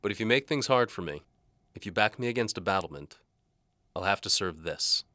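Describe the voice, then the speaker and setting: A man's voice: lowish voice, animated, a little fast, bright, forceful tone, no room sound, clean studio sound, pitched above the performer's own voice. A man playing a stern, exasperated small-town sheriff in a medieval audio drama, with music behind him. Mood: stern warning. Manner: exasperated, warning.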